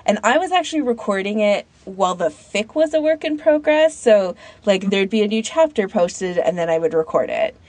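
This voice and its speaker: A woman with a mid-pitched voice talks casually as a podcast co-host. She is informal and opinionated, and says "like" often. The recording is dry. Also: very animated, normal speed, few pauses, darkish tone, no room sound. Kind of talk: casual chat.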